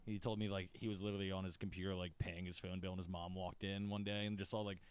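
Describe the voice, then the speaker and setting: A man hosting a casual podcast chat, excitable and swearing freely. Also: low voice, fast, smooth. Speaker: podcast host.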